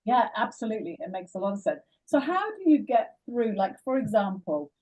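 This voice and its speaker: A woman's voice: medium pitch, very animated, brisk, darkish tone. A woman hosting an interview, an English teacher asking thoughtful questions. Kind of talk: interviewing a guest. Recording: distant mic.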